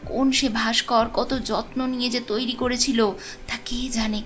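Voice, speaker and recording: A woman reads a literary story in a measured, expressive storytelling manner. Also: fairly high pitch, faint music behind. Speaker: audio story narrator. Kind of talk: scripted literary story narration.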